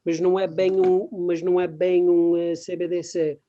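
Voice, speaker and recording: Hesitant Portuguese speaker, mellow male voice. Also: sits high, little pitch movement, muffled recording. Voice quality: non-native Portuguese with English terms mixed in. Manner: halting, searching for words. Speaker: guest expert. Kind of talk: webinar presentation in conversational form.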